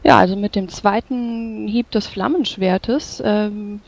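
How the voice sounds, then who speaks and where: A woman's voice: medium pitch, slow pace, creaky. A woman playing a tabletop role-playing game, casually describing what her character does in combat. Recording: dull lo-fi voice-chat sound, faint music.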